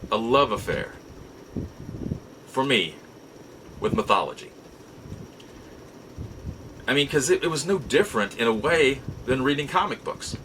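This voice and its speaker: A middle-aged American man telling nostalgic personal stories in a casual, halting, reflective way with drawn-out pauses. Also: mid-range voice, bright, forceful tone, a little hiss or hum, poor audio. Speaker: podcast host. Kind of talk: casual nostalgic storytelling monologue.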